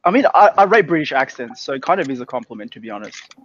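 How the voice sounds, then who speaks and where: A man's voice: medium pitch, sing-song, quick pace, fairly bright tone, no room sound. Young Australian man, muffled sound. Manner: easygoing, earnest, uses lots of fillers. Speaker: podcast co-host. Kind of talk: casual chat. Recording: dull, slightly muffled with faint hiss.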